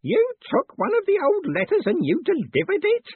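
A man's voice: extremely high pitch, wildly swooping pitch, fairly quick, no echo, phone-quality sound, voice pushed up, full character voice. A man voicing an anxious, fussy elderly postman in an audiobook story, easily worked up. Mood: horrified, incredulous. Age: older.

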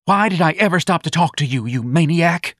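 Brief: narrator doing a catty young dandy, high and swooping, dry close recording. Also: male voice, expressive, brisk, a bit bright, no room sound. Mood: alarmed. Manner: campy, mocking, shrieking. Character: flamboyant catty young man, gleeful and teasing.

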